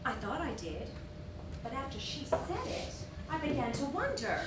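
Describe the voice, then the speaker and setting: A woman acting an agitated, earnest society lady in a stage play, with halting, emphatic delivery. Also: high-pitched, big pitch swings. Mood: uneasy, hesitant. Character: Diana, agitated earnest society woman, indignant when accused. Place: theatre stage. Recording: roomy, distant, noisy theatre stage recording.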